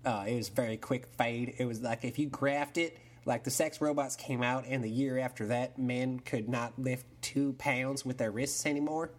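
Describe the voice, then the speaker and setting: Man playing a slow-witted Texan caller; husky, rambling drawl. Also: medium pitch, fairly even intonation, natural voice. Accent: Texan, put on. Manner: rambling, full of fillers, drawling. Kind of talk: improvised call-in sketch.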